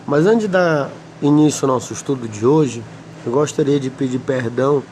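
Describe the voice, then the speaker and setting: A man with a Brazilian Portuguese accent, slightly hoarse, teaching a Bible lesson and reading scripture slowly with many pauses. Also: mid-range voice, darkish tone, slight background noise. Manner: slow, deliberate, pausing often, asks "amém?".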